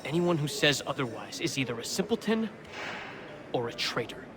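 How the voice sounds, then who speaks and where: An actor playing a wry, suspicious colonial prisoner in a video game, talking in an arch, eloquent, theatrical way. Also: male voice, mid-range voice, sing-song, moderate pace, a crowd in the background, lightly acted. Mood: fervent. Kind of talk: video game cutscene acting.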